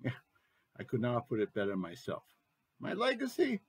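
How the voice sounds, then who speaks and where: A man's voice: medium pitch, wildly swooping pitch, moderate pace, husky, full character voice. A man voicing a crude, boastful president in his sixties, ranting emphatically down the phone in a satirical stage monologue. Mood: bitter boasting. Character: crude, bitter, boastful 68-year-old male American president.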